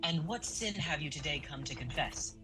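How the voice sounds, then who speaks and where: Man playing a breezy, distracted, animated priest. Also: on the high side, moderate pace, over music, natural voice, low-quality recording. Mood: awkward, formal. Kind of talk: audio drama acting.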